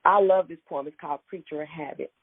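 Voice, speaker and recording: A woman's voice: deep voice, fast talker, breathy edge, dry recording. A woman speaking as a poet and guest in a reflective, conversational way over a tinny phone line. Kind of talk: conversational, reflective talk and poetry reading.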